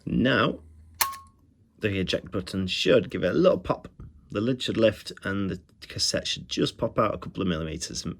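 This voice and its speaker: Low-voiced man giving a chatty gadget review. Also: animated, normal speed, vocal fry, breathy edge. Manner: chatty, enthusiastic about details. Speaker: retro electronics review host. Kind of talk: casual hands-on product review.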